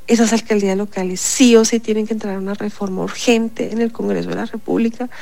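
A woman's voice: medium pitch, fairly even intonation, quick pace, creaky, a little mellow, dry recording. A woman, a city councillor, speaking emphatically in a radio interview with a congested, stuffy voice. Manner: emphatic, insistent, repeats points.

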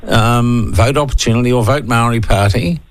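A man hosting talkback radio, blunt and opinionated, brisk with his callers. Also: mid-range voice.

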